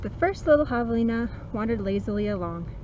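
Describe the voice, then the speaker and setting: A woman's voice: fairly high pitch, lively intonation, fast talker. A woman reading a children's story aloud outdoors, addressing young listeners directly with chatty asides. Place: outdoors in woods near home. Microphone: camera set a little way off. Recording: dull lo-fi audio, loud outdoor vehicle noise.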